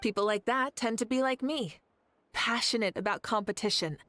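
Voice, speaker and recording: A woman voicing a confident, carefree, teasing warrior leader in a video game, self-assured and measured. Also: on the high side, a bit bright, no echo, lightly acted. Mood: assured, explanatory.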